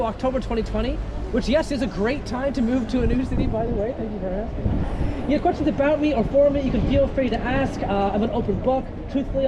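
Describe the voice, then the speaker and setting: Canadian man, tour guide, high-pitched voice, joking and chatty, outdoors, heavy traffic noise. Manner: joking, self-deprecating, chatty. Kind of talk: guided walking tour talk. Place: outdoors on a city street. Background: street traffic.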